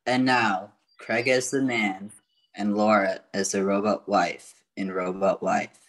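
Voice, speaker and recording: A man's voice: on the low side, level tone, slow pace, fairly bright tone. An older man serving as announcer, reading scripted narration and stage directions for an amateur radio play.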